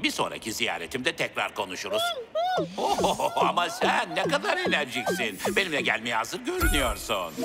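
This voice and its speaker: A cheerful little king from a children's cartoon. His delivery is theatrical and excitable, swinging widely in pitch. Music plays prominently behind him. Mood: delighted. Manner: theatrical, exclaiming, expressive. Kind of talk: animated children's cartoon acting.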